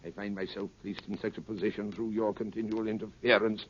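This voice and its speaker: Man acting criminal mastermind, low pitch, formal threats, deliberate, muffled noisy 1930s radio drama. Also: level tone, natural voice. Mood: menacing.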